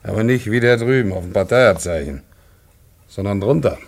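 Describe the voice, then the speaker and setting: Casting: weary old working-class father, deep and gravelly, brooding radio-drama delivery. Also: animated, moderate pace. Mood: bitter, resigned. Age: older. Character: bitter old father, lifelong communist worker, weary. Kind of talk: serious German radio drama acting.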